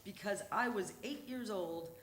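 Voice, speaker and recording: A woman giving an expressive, emphatic live reading of a memoir story on a stage, with a roomy sound and faint noise. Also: medium pitch, unhurried. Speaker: reader at a live storytelling show. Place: theatre stage at a live show.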